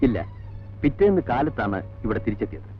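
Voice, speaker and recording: A formal, guarded head monk played by a male actor in an old film drama. He speaks in a measured, officious manner at a middle pitch. The soundtrack is thin and tinny, with little top or bottom. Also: sing-song, soft background music, close to an everyday voice. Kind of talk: 1980s film drama acting.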